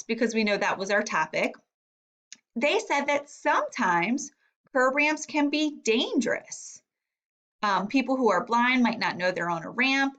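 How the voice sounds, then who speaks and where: A woman's voice: a little high, fairly bright tone. A woman teacher giving a read-aloud lesson to young children, thinking aloud and guiding them with questions. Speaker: elementary reading teacher.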